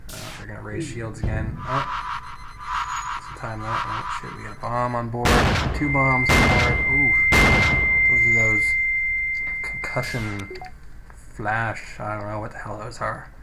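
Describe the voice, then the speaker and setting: A man's voice: fairly low pitch, level tone, measured pace, gravelly, long pauses, over loud music. A man doing casual game commentary, thinking aloud with some hesitation and reading on-screen text out, recorded on a poor, noisy microphone. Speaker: gameplay video host. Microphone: low-quality microphone.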